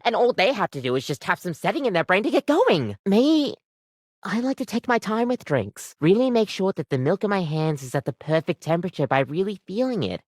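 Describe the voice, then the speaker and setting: A woman's voice: medium pitch, sing-song, fairly quick, darkish tone, dry recording, clean studio sound. A woman voicing an overworked, apologetic barista in a video game, chatty, rambling and self-deprecating, with a weary devotion to the job. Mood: weary, earnest. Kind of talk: video game character acting, conversational.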